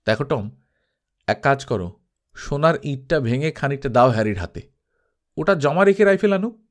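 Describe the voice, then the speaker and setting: A man's voice: medium pitch, lively intonation, normal speed, close to an everyday voice. Man acting a level-headed, firm male adventurer. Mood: practical. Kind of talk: dramatised audiobook storytelling.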